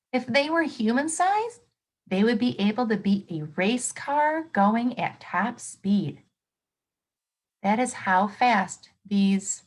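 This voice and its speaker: A woman reads aloud to children in the way of a teacher. Her intonation swings widely and she takes her time. She is expressive, exclaiming and pausing to explain or to put questions to her young listeners. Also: medium pitch. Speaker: teacher reading a nonfiction book aloud to children.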